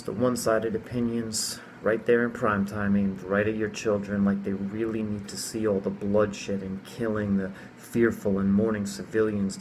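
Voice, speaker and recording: A man reciting spoken-word slam poetry in an earnest, emphatic way, with rhythmic rhymes and deliberate pauses. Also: lowish voice, a little mellow, slight background noise, rough recording. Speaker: slam poet.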